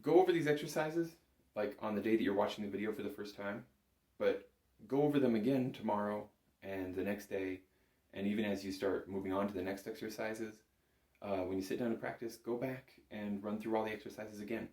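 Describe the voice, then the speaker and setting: A man's voice: lowish voice, a little fast, darkish tone. A man giving an informal, patient music lesson, talking learners through it step by step. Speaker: bagpipe instructor. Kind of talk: instructional music lesson to camera. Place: indoor room, echoey. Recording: echoey room.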